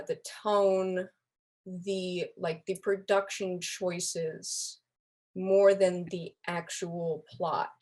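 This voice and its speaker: A woman talking casually as a guest in a relaxed group conversation. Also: on the low side. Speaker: podcast guest, fan community member.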